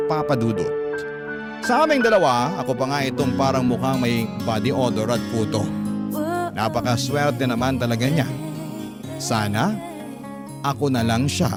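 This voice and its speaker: Male story narrator over prominent piano music. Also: medium pitch. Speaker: radio drama narrator. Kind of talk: first-person scripted story narration.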